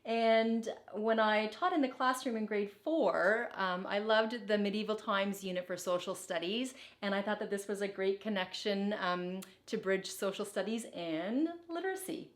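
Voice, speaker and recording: A woman teacher reading a children's storybook aloud in an expressive, deliberate way, with brief teaching asides. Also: mid-range voice. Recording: echoey, reverberant room.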